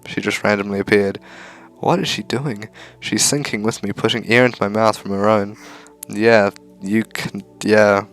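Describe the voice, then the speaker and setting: A man's voice: lowish voice, fairly even intonation, moderate pace, few pauses, a bit bright, soft background music. A man reading a visual novel aloud for a let's play, with casual asides.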